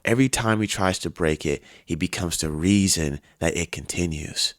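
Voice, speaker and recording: A man hosting and narrating a scripted video essay, telling the story in a dramatic, emphatic way with deliberate pauses. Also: on the low side, dry recording, clean studio sound.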